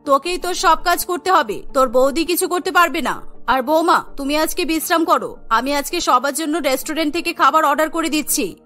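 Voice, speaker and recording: A middle-aged cartoon mother-in-law, acted by a woman, stern and protective. The voice is very high and bright, with an emphatic scolding manner. Faint music plays underneath. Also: brisk. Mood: firm, bossy. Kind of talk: Bengali cartoon story acting.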